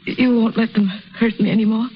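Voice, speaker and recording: A woman's voice: on the high side, little pitch movement, a little fast, slight background noise, close to an everyday voice. An actress playing an anxious, tender young woman in love, earnest and imploring, in a 1930s radio drama. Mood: weak, pleading. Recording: muffled 1930s radio recording, faint music.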